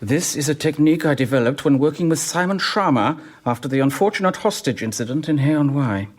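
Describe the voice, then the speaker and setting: Smug German-accented shrink for radio comedy, high voice, sing-song delivery. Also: male voice, expressive, brisk, no room sound. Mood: self-important. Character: pompous male psychiatrist, self-important. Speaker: psychiatrist. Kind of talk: radio sitcom acting.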